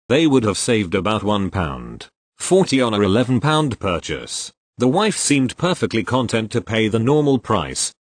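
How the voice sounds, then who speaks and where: A man's voice: lowish voice, dry recording. A man reading posts aloud in scripted narration, voicing speaker labels and symbols literally.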